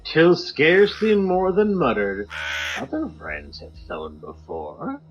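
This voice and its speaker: A man co-hosting a podcast, giving a theatrical poetry reading that swings between loud highs and hushed drops. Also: huge pitch swings, slow. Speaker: podcast co-host. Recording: slightly muffled with faint music.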